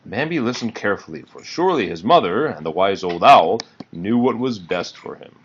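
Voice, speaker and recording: A man's voice: on the low side, expressive, a little fast, dull audio, a little hiss or hum. A man narrating a children's storybook in a read-aloud style. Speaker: storybook reader.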